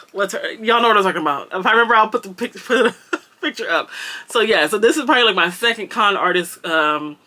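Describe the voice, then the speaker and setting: A woman's voice: medium pitch, animated, brisk, sharp tone. A young woman with a slight Jamaican accent, chatting casually through a book wrap-up and rambling with lots of ums and likes. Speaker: BookTuber.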